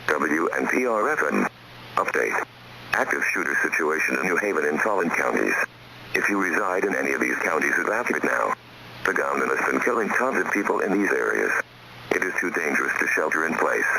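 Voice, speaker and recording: An extremely low male voice with gravelly fry and a sharp, forceful tone. He delivers a formal scripted emergency alert in the role of broadcast announcer. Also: very animated, fairly quick, pauses often, poor audio.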